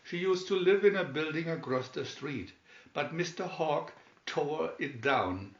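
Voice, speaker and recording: A man's voice: a little high, lively intonation, measured pace. A man voicing a sweet but scolding, protective little old lady in a storybook reading. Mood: kindly, welcoming. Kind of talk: storybook read-aloud. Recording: dull, roomy home recording.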